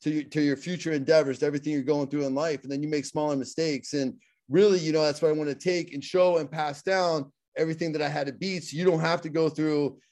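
Middle-aged pittsburgh guy, kinda high voice, earnest, keeps stumbling and restarting. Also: fairly even intonation, fast talker, darkish tone. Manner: earnest, repeats words and restarts often. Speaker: guest, motivational speaker. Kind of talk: casual interview talk.

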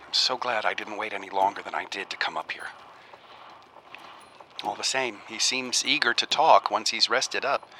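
An actor playing an earnest, uneasy researcher in a radio drama, speaking in a halting, musing way as if keeping an audio diary. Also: male voice, fairly low pitch, pushed and bright. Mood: concerned. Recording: faint noise behind the voice.

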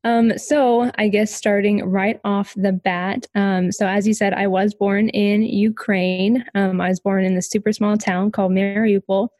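A woman's voice: mid-range voice, level tone, measured pace, few pauses, darkish tone, dry recording. Young woman, podcast guest, casual and chatty with lots of ums, dry close recording. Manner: casual, many ums, light laughs. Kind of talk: personal story in an interview.

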